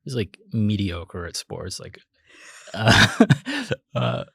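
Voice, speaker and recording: A young man with a low, gravelly, slightly husky voice, talking in a relaxed, halting way full of fillers and restarts. He laughs easily between thoughts. The sound is close and dry. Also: no echo. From United States. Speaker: startup founder, guest. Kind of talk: casual interview chat.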